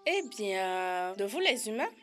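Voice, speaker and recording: A woman's voice: medium pitch, very animated, unhurried, soft background music. A woman voicing a timid but frank elephant in a cartoon dub. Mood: wary.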